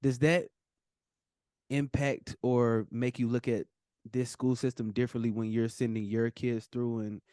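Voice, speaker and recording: A man's voice: medium pitch, flat delivery, a little slow, mellow, clean tone, dry recording, clean studio sound. A man hosting an interview, asking questions in a hesitant way with many fillers. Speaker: host. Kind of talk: podcast interview.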